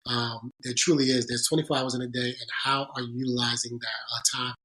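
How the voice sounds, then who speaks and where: A man's voice: medium pitch, level tone, bright, forceful tone, poor audio. A man being interviewed on a podcast about his career as a sports agent, speaking earnestly and thoughtfully, often restarting his sentences. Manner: earnest, halting, frequent restarts. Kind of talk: reflective interview storytelling.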